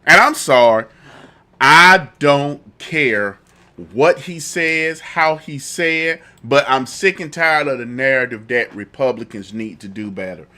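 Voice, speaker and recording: A man's voice: mid-range voice, takes it slowly, pushed and bright. A man hosting a political talk show with a lingering cough, repeating himself as his commentary builds into heated indignation. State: fighting a cough.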